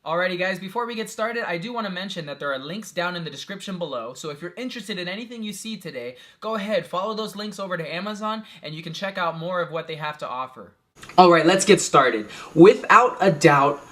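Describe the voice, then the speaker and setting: A man's voice: on the high side, a bit bright, far from the mic. A young man recovering from a cold, chatting casually to camera about his filming gear from a small home office, with lots of "you know" and "um". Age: young adult. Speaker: YouTube vlogger.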